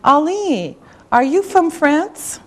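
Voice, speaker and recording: A woman teaching English to beginners, enunciating carefully and deliberately in a scripted lesson. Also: sits high, expressive.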